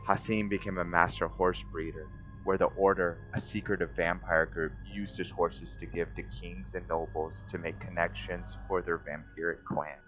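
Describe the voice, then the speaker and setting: Super deep guy narrating a dramatic story, music playing behind him. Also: fairly even intonation, normal speed, fairly bright tone, poor audio.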